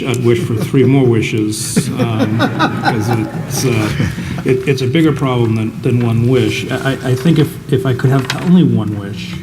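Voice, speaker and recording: A man serving as a dean on a panel, speaking earnestly and haltingly into a panel microphone at a public event. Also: medium pitch, background noise. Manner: earnest, halting, with many restarts. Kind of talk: panel discussion answer.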